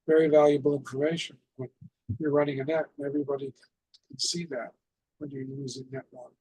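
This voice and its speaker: A man giving an informal instructional presentation as a radio net host, explaining in a halting way with repeated phrases. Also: on the high side, darkish tone, slightly rough, no echo, rough recording.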